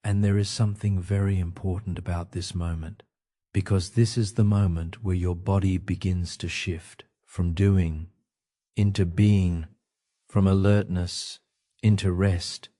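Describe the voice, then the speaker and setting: A man guiding a sleep meditation in a calm, gentle, soothing manner, speaking in short phrases with pauses. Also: low-pitched. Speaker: meditation guide.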